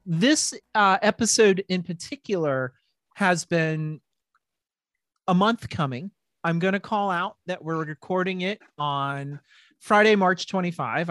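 Podcast host: middle-aged woman, lowish voice, animated and rambling, dry close mic. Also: no room sound. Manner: animated, laughing, rambling with restarts. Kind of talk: casual podcast chat.

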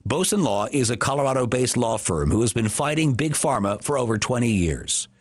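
A man reading a radio advertisement at a brisk pace. He slows right down for the phone numbers. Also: medium pitch, expressive, breathy edge, no echo. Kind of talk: radio ad read.

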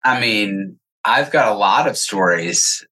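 A man's voice: fairly low pitch, lively intonation, slow pace, sharp tone. A man speaking as an interview guest, casual and anecdotal, voicing quoted remarks as he explains. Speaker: podcast guest, software sales executive.

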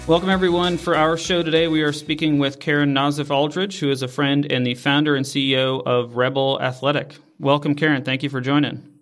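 A man's voice: fairly high pitch, flat delivery, fairly quick, fairly bright tone. Polished podcast host man, faint music. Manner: polished, formal. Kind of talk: scripted intro and interview questions.

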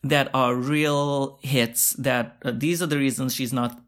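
A man's voice: medium pitch, fairly even intonation, unhurried, fairly bright tone. A young man hosting his own podcast, rambling in a chatty, self-mocking way with lots of asides. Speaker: podcast host. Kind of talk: casual solo podcast monologue.

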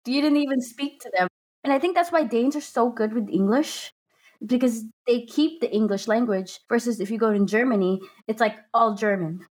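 A woman's voice: on the high side, moderate pace, darkish tone. Light-voiced woman hosting a casual chat. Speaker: podcast host.

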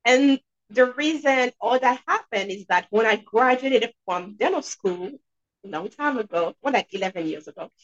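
Higher-pitched Haitian-accented woman, slightly dull recording. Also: lively intonation, moderate pace. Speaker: podcast guest, dentist and debt coach. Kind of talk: conversational interview answers.

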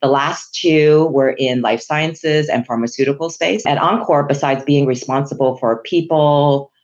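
A woman's voice: low voice, unhurried, darkish tone. Woman from Hong Kong on a muffled line. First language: Chinese. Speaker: guest, HR executive. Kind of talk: interview answers.

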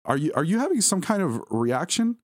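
A man's voice: high-pitched, big pitch swings, brisk, pitched above the performer's own voice. A man voicing a worried, frantic wife pleading with her ailing husband. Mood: shocked, panicked.

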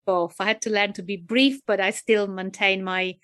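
Woman, Italian accent, psychiatrist guest, thoughtful, hesitant fillers, medium pitch. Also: fairly even intonation, normal speed, fairly bright tone. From Italy. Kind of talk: interview answers.

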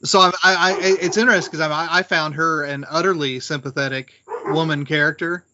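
A man co-hosting a podcast in casual, analytical discussion, thinking aloud with halting restarts, on dull, faintly noisy audio. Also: fairly high pitch, steady tone. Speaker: podcast co-host. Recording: dull lo-fi audio with background noise.

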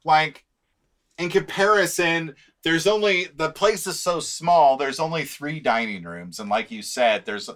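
A man's voice: fairly high pitch, measured pace, fairly bright tone. A man co-hosting a podcast who chats loosely and swears casually. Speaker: podcast co-host.

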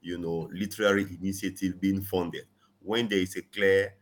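Earnest low-voiced man, emphatic. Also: flat delivery, moderate pace. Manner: earnest, frequent "you know", rising emphasis on key points. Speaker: guest. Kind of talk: conversational interview, advocacy.

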